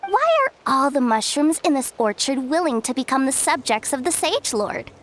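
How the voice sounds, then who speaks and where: Pompous high-voiced talking mushroom. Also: female voice, lively intonation, normal speed, a little hiss or hum. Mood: haughty, impatient. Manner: pompous, formal, condescending. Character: haughty talking mushroom sage's servant, testy riddle-giver.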